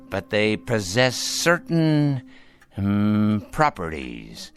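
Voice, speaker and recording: Slow, theatrical old grandfather. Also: medium pitch, fairly bright tone, heavily acted. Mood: sly, relishing. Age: older. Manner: deliberate, theatrical, probing, sardonic. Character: sly, imperious dying grandfather toying with his heirs. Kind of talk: radio drama acting.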